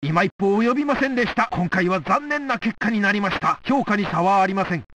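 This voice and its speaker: An excitable Olympic announcer in a video game, his voice very high and bright. He delivers emphatic play-by-play at a rapid clip. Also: a little hiss or hum. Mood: measured, concerned. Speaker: sports announcer. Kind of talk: excited sports play-by-play commentary.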